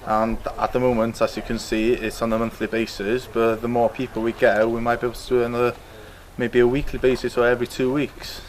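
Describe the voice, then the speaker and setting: A man speaks off the cuff in an interview as a community warden. His pitch is average for a man, and he hesitates and trips over words as he explains. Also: level tone, fairly quick, slight background noise. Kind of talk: unscripted interview.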